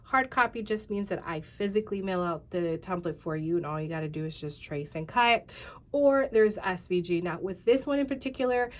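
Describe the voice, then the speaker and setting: A woman's voice: on the low side, lively intonation, a little fast. A tired, congested woman in her thirties chatting casually through a craft tutorial, rambling with many fillers and talking to viewers as "you guys.". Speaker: craft channel host.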